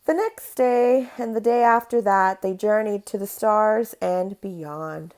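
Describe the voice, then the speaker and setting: A woman's voice: mid-range voice, slow pace, darkish tone. Woman reading a children's story aloud. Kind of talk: read-aloud storytelling from a picture book.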